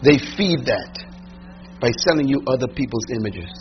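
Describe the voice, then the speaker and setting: A man preaching a sermon to a room, emphatic, asking rhetorical questions and swinging from loud to hushed. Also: medium pitch, lively intonation, moderate pace. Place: large room with audience. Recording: dull lo-fi recording with mains hum.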